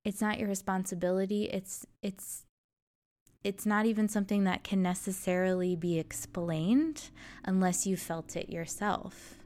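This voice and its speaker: A woman's voice: mid-range voice, fairly even intonation, measured pace, a little mellow. A young adult woman hosting a solo podcast, talking in an earnest, encouraging way. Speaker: podcast host. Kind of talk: reflective solo podcast monologue.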